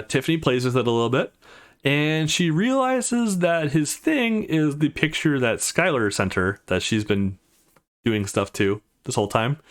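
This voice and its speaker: A man's voice: mid-range voice, fairly bright tone. A man hosting a let's play, giving casual commentary on a game. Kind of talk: reading game dialogue aloud and casual commentary.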